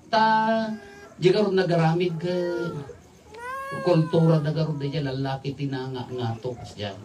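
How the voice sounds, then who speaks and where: Priest guy preaching casually outdoors, kinda high voice, bit far from the mic, stop-and-start chatty delivery. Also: a little hiss or hum. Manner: conversational, jokey, with halting phrases and rhetorical questions. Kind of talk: informal homily mixing local language with English terms. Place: outdoors at night at a makeshift altar. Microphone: microphone on a stand, filmed from afar. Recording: distant, slightly off-mic capture.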